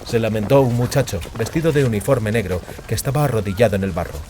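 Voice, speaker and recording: A man's voice: on the low side, fast talker, warm tone, a little hiss or hum. A man giving a dramatic scripted narration of a fantasy audiobook.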